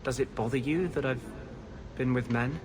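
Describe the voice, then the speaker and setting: A man playing a brooding, idealistic rebel mage in a video game, speaking earnestly and intensely with halting pauses. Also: medium pitch, darkish tone, natural voice. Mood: wistful, confiding. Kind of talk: dramatic video game dialogue. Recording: clearly noisy game audio background.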